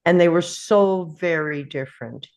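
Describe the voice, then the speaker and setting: A woman in a casual group discussion of a TV series, speaking thoughtfully and pausing to find her words. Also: lowish voice.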